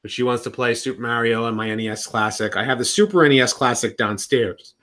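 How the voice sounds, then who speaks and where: A man's voice: mid-range voice, fast talker, a bit bright. A man in his thirties chatting casually on a live stream from home, rambling and often restarting his sentences. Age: 30s.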